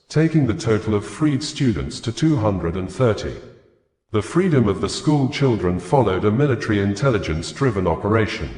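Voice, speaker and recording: A man's voice: fairly low pitch, a little mellow. A man reading scripted news reports and statements aloud in a formal manner. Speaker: news reader. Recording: echoey, roomy voice recording.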